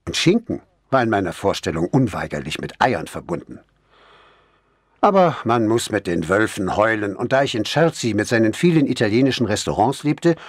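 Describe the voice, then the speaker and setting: Male narrator for crime audiobook. Lowish, husky voice, measured expressive reading, dry close sound. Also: no echo. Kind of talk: scripted first-person crime novel narration.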